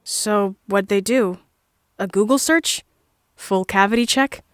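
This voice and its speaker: A woman's voice: mid-range voice, takes it slowly, slight background noise, lightly acted. A woman playing an irreverent, laid-back human prisoner in a comic sci-fi audio drama, casual and sardonic. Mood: sardonic, playful.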